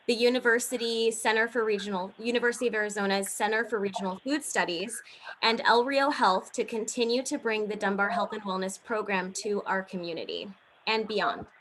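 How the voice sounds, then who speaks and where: A woman's voice: medium pitch, a little fast, fairly bright tone, a little hiss or hum, poor audio. A woman hosting an online event, reading a scripted announcement over a video call. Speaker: event host.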